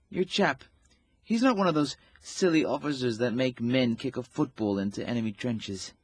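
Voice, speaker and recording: A man's voice: medium pitch, measured pace, breathy edge, no room sound. A man playing a cheeky, talkative young wounded soldier in a period radio drama.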